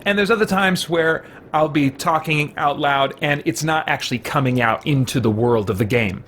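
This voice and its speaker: A man's voice: on the high side, normal speed, fairly bright tone, a little hiss or hum. A man livestreaming a game, explaining things in casual, rambling commentary. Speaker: livestream gamer. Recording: game music faintly behind.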